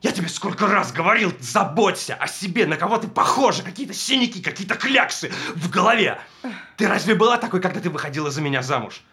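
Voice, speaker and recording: Актёр играет ревнивого, жестокого мужа, который издевается над женой и то шипит, то срывается на крик. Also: male voice, high-pitched, animated, fast talker. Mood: taunting, raging. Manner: mocking, swings from menacing whispers to shouting. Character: jealous abusive husband tormenting his wife. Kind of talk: stage drama performance.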